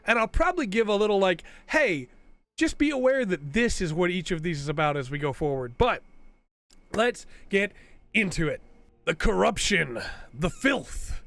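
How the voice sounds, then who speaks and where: A man's voice: high voice, lively intonation, moderate pace, a bit bright, no echo. A man hosting a casual commentary video while he has a cold, rambling and often tagging his points with "right?". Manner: rambling, tags lines with "right?". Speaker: video host.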